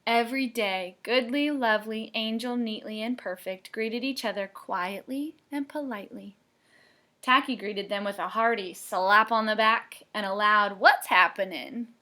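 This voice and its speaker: A woman reading a picture book aloud to children, playful and dramatic, with expressive narration. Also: a little high, normal speed. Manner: playful, dramatic, with hushed asides. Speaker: storybook reader for children.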